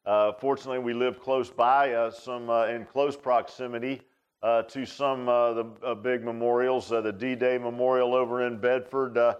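An American man in his sixties preaching an informal sermon in a halting, folksy, conversational way, often repeating words. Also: medium pitch, flat delivery, clean tone, lo-fi sound. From United States. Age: 60s. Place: speaking in a church.

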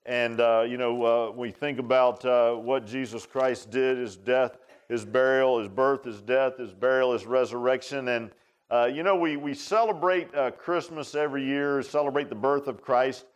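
Clear-voiced older American preacher, halting delivery. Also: male voice, medium pitch, steady tone. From United States. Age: 60s. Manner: halting, folksy, conversational delivery with repeated words. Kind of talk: informal sermon. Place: speaking in a church.

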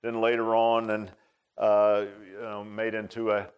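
An American man in his sixties preaching an informal sermon in a halting, folksy, conversational way, often repeating words. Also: on the low side, monotone, clean tone, lo-fi sound. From United States. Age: 60s. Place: speaking in a church.

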